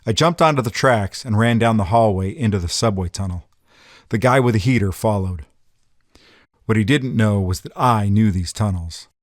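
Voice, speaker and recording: Low-voiced man as a hardboiled noir tough guy. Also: no echo, clean studio sound, close to an everyday voice. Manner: slangy, hardboiled, matter-of-fact. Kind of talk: first-person noir audio drama narration.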